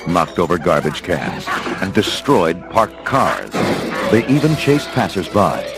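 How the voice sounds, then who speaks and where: Deep man narrating a storybook record, dramatic, music playing behind him. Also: sing-song, a little slow.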